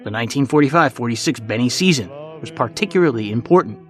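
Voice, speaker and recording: Male podcast narrator over soft music. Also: medium pitch, animated, fast. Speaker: podcast host. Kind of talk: scripted documentary narration.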